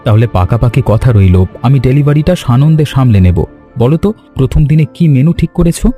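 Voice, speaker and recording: Actor playing an eager, helpful young village man, mellow. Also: mid-range voice, expressive, fast talker, soft background music, lightly acted. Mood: cheerful. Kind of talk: animated story dialogue.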